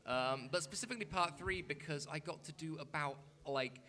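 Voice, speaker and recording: Bright, higher-voiced man on a panel. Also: normal speed. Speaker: panelist, voice actor. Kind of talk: casual chat on a panel. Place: convention meeting room with an audience. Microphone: panel microphone.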